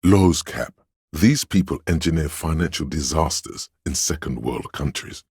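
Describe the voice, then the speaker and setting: An actor voicing an erudite, worldly inner voice of knowledge in a video game, narrating facts in a measured, dry, scholarly way. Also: male voice, a bass voice, animated, a little mellow, slightly rough, no echo, full character voice. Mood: measured, knowing.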